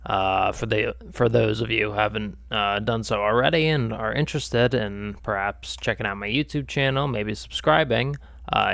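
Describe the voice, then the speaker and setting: Stuffy-nosed gravelly streamer guy. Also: lowish voice, a bit bright, no room sound, studio quality. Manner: rambling, lots of uh and um fillers. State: stuffed up, nasal from a cold. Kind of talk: casual gaming stream chat.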